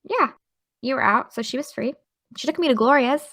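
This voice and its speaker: A woman's voice: high voice, a little fast, fairly bright tone. An actress playing an earnest, studious teenage girl with a chatty, eager, slightly awkward manner in an audio drama. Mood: cheerful. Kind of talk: naturalistic audio drama acting.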